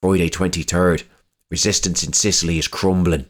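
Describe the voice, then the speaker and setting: Low-voiced wartime junior serviceman. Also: fairly even intonation, normal speed. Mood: matter-of-fact. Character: weary junior serviceman keeping a wartime diary. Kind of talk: dramatised diary reading.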